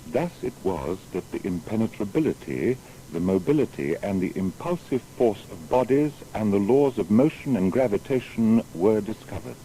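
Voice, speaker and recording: A learned, solemn natural philosopher of a bygone age played by a man declaims his writings for an audio biography. The voice is lowish and very mellow, with expressive rises and falls. The delivery is formal and deliberate. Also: a little hiss or hum, close to an everyday voice, low-quality recording. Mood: firm, reasoned. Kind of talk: dramatised reading of historical writings.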